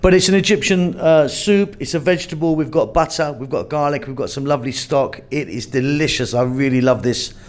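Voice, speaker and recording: A man's voice: fairly high pitch, fairly quick, hardly any pauses. Chatty man hosting a cooking demo. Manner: chatty, relaxed, thinks aloud with fillers. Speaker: cooking channel host. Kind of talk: casual cooking demonstration.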